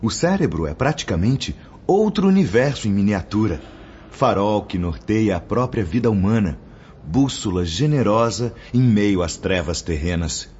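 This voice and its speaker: An actor playing a solemn male spirit teacher in a radio drama, with a Brazilian accent and a reverent, measured, declamatory delivery. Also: fairly low pitch, animated, darkish tone, slight background noise, natural voice. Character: Brother Clemente, solemn male spirit teacher instructing pupils. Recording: dull lo-fi audio under music.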